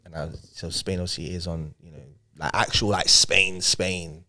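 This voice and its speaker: A man's voice: sits low, fairly even intonation, moderate pace, bright, forceful tone. A man hosting a casual interview, chatty and full of fillers like "like" and "obviously". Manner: chatty, filler-heavy, says "like" and "obviously" a lot. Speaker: podcast host.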